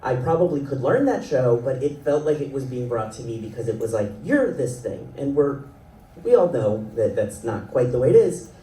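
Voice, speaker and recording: A man's voice: mid-range voice, very animated, moderate pace, dark tone. A man in his thirties from South Florida, speaking as a panelist at a festival in a rambling, self-deprecating way and laughing often. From South Florida, Ecuadorian family. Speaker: panelist, animation writer and creator. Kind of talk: panel discussion. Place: event panel hall. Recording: roomy sound from a distant mic, faint noise.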